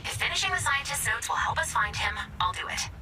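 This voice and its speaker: A woman's voice: high-pitched, lively intonation, quick pace, far from the mic, over loud music. An actress playing a haughty, melodramatic and sarcastic female computer AI in a video game. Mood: obsessive, pining. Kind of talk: scripted video game voice acting.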